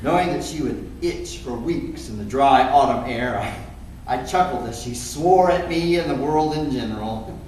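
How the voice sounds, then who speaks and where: A man's voice: mid-range voice, moderate pace, lightly acted. A man playing a wry, exasperated cat owner, telling a comic first-person story theatrically in a stage read-through. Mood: gleeful. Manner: animated, expressive delivery. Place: indoor theater stage, recorded from afar. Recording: echoey theater, distant mic, faint noise.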